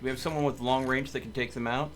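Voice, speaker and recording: A man's voice: medium pitch, steady tone, fast talker, fairly bright tone. Man chatting through live game commentary. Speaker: streamer hosting a multiplayer game. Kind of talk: casual live gameplay commentary. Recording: faint background noise.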